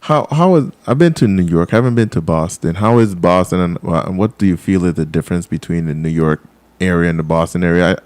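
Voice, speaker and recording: A male radio host with a very low, warm voice asks his questions in a rambling, restarting way. His tone is smooth and resonant. The studio sound is dry and close. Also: a little fast, no echo. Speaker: radio talk show host. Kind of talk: live call-in radio interview.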